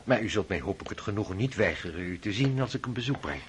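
Casting: actor voicing an ingratiating young officer for a radio play, lowish voice with a lively lilt, faint background ambience. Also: male voice, quick pace, a little hiss or hum, lightly acted. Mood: courtly. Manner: courtly, ingratiating. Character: smooth, flattering young male officer.

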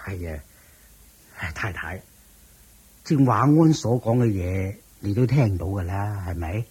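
A man playing a kindly, worried older master of a wealthy household in a Cantonese radio drama, sighing and measured, with a Hong Kong accent. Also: on the low side, lively intonation, a little mellow, slightly rough, exaggerated voice. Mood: troubled.

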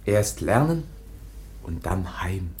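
A man playing a bewildered teenage foundling in a radio play, speaking in halting, simple, childlike phrases. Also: sits low, sing-song, darkish tone, heavily acted. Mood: naive, curious. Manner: halting, broken childlike speech in simple words. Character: childlike, bewildered foundling youth of about seventeen. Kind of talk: German radio play acting.

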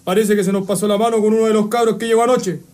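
A man's voice: sits high, level tone, very fast, darkish tone, lightly acted. A man with a Chilean accent playing an obedient, cruel army corporal in a radio drama.

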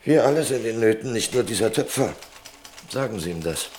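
An actor playing a self-important, evasive male merchant in a radio play, lecturing and insinuating, with drawn-out emphasis. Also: fairly low pitch, animated, a little mellow, a little hiss or hum, natural voice. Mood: urgent, insistent. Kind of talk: German radio play acting.

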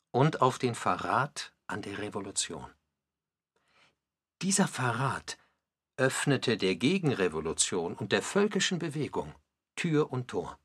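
A man's voice: medium pitch, a bit bright, breathy edge, no room sound, studio quality, exaggerated voice. A man delivering scripted dramatic narration for a radio documentary, with emphatic, pointed phrasing.

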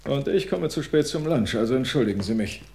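A man playing a dry, unbothered notary in a radio drama, deadpan and dismissive, as if reading an official document. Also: mid-range voice, fairly quick, slight background noise, natural voice. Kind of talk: dramatic radio play acting.

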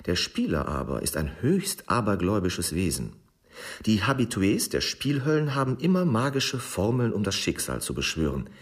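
Low, animated male essay reader. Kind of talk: scripted radio reading of an essay.

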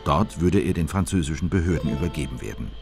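A man's voice: a bass voice, expressive, fast talker, vocal fry, heavily acted. An actor playing a grave, indignant male government insider who narrates events in a scripted radio play. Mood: grave indictment. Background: prominent music.

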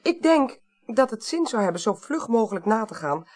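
A woman acting a careful, sceptical investigator in a Dutch radio crime drama from the early eighties, arguing in an articulate, measured way. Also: a little high, sing-song, a little mellow. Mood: reasoning, firm.

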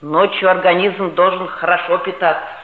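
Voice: mid-range voice, steady tone, normal speed, narrow, tinny audio, natural voice. An actor playing a boastful, gluttonous schoolboy who talks with comic pomposity in a 1960s radio play. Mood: boastful, breezy. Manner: pompous mock-scientific talk. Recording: echoey, band-limited vintage radio play recording.

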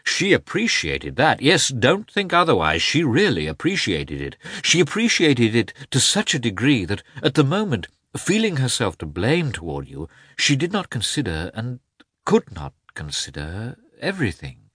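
A man voicing an earnest, excitable gentleman in an audiobook, pleading in an emphatic, effusive way. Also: medium pitch, moderate pace, slightly rough, no echo, clean studio sound, voice pushed up, full character voice. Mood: persuasive, insistent. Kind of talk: audiobook character voice.

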